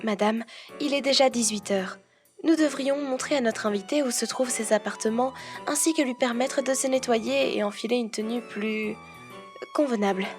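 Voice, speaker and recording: Casting: actress playing formal manor housekeeper, strict and precise, slightly high voice with faint music behind. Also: female voice, close to an everyday voice. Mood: formal, dutiful. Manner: prim, formal, measured, precise. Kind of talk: French audio roleplay acting.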